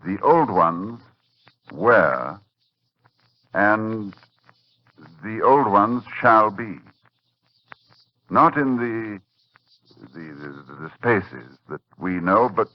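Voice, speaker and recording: A man playing a learned, shaken older professor in 1940s radio horror, speaking in a halting, grave, dramatic way. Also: fairly low pitch, animated. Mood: ominous, halting. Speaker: narrator and lead actor. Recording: muffled 1940s radio recording with music.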